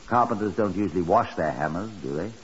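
An actor playing a calm, methodical chief inspector in a 1950s radio drama, terse and measured. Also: male voice, sits low, breathy edge. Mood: dry, probing. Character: calm, methodical police chief inspector, world-weary and dry. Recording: dull, hissy 1950s radio recording.

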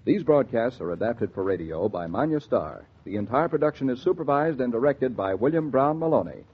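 Husky, animated 1940s radio announcer man. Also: mid-range voice, brisk. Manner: polished, genial, salesmanlike. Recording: muffled 1940s radio transcription.

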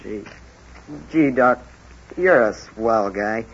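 A man's voice: fairly low pitch, expressive, slow pace, highs cut off, slight background noise. A man playing a sheepish, contrite small-town young man in trouble, hesitant and plain-spoken, with an American accent, in an old-time radio drama. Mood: earnest, grateful.

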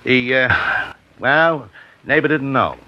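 A man's voice: mid-range voice, animated, slow, pushed and bright, exaggerated voice. An actor playing a plain-spoken male investigator who reports his findings, in a 1950s radio drama. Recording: dull lo-fi 1950s radio broadcast.

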